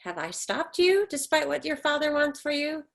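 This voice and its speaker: Casting: actress as middle-aged mother, fairly high voice, bitter and defensive, reproachful retorts in a dramatic play reading. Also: very animated, moderate pace, vocal fry, exaggerated voice. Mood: bitter, insistent. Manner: emphatic, reproachful, clipped retorts.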